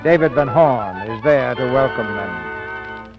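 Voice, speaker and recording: A man's voice: medium pitch, lively intonation, slow. Old time radio announcer guy narrating, dull sound, music behind him. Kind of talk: scripted 1940s radio narration. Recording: muffled old radio broadcast.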